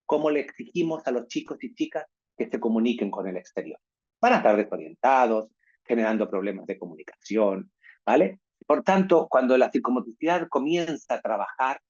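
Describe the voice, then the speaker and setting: Male lecturer on a video call, husky voice, dull muffled audio, asks "right?" a lot. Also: medium pitch, darkish tone. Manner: peppers talk with tag questions. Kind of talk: online lecture to teachers.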